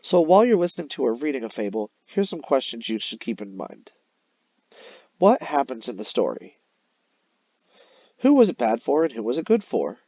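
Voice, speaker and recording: A male teacher explains a lesson to young children in a lively, varied voice. He speaks instructively, as if guiding a class through a read-aloud. The recording is dry and somewhat dull. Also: medium pitch, moderate pace, pauses often, breathy edge, no echo. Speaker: elementary teacher. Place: voice-over on a screen-recorded slide lesson.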